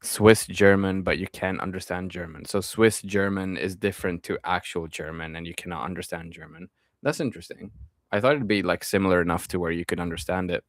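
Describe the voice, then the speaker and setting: A man in his twenties streaming live, reading viewers' comments aloud and answering them in a relaxed, friendly way before drifting back to his topic. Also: deep voice, fairly quick, no room sound, studio quality. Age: 20s. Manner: relaxed, friendly, often trails off with fillers. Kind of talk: casual live-stream chat.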